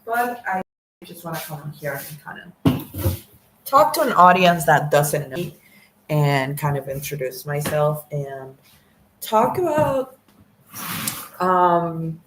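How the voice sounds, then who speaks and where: A woman's voice: deep voice, sing-song, slow, pauses often, noisy background. A young woman from Mexico whose first language is Spanish, casually introducing herself to the camera, rambling with lots of "like" and "um". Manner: rambling, lots of "like" and "um", self-conscious. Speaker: vlogger. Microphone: camera mic, talking to camera.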